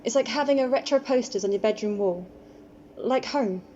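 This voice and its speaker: A woman playing a guarded, quiet homeless woman in her twenties in an amateur radio play, speaking tersely with understated wistfulness. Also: on the high side, moderate pace, close to an everyday voice. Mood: wistful. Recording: dull, slightly noisy home recording.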